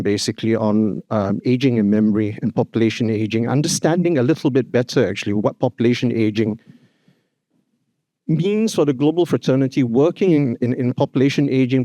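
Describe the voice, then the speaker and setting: A man's voice: medium pitch, warm tone, dry recording. A man giving a formal opening address at a conference, speaking earnestly and emphatically, often adding "you know" and "actually". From Singapore. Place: hotel conference hall.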